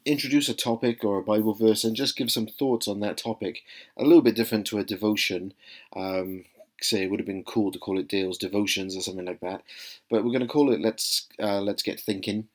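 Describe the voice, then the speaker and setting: A Welsh man in his thirties talks straight to camera in a relaxed vlog. His voice sits a little low, and his friendly, earnest delivery keeps pausing on ums and uhs. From South Wales. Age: 30s. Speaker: vlogger. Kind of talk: casual talking-to-camera vlog.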